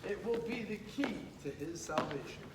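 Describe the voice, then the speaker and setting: Male storybook reader, high voice, expressive delivery with chatty asides, distant and echoey school-room recording. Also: normal speed. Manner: expressive, with casual asides to listeners. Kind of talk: animated children's picture book read-aloud. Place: indoors at a school. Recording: echoey room, distant mic, noisy background.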